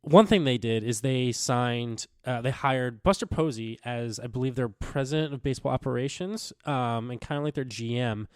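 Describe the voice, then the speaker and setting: A young man co-hosting a casual sports podcast, slightly raspy from recent illness, rambling with lots of fillers and restarts. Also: mid-range voice, normal speed, hardly any pauses, no echo, clean studio sound. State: recovering from illness, a bit raspy. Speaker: podcast co-host.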